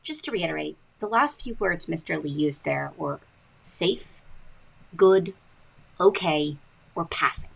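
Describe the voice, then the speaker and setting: A woman hosting a podcast, narrating in a wry, deadpan scripted way. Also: low-pitched, measured pace, vocal fry, pauses often, breathy edge, low-quality recording. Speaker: podcast host. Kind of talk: scripted narration with dry asides.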